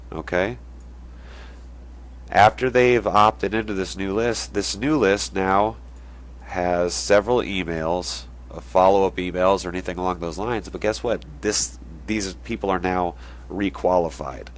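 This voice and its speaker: Young male host, deep low voice, congested from a cold, casual explainer delivery. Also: fairly even intonation, unhurried. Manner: conversational, drawing on a board while explaining. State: stuffy nose from a cold. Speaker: online marketing video host.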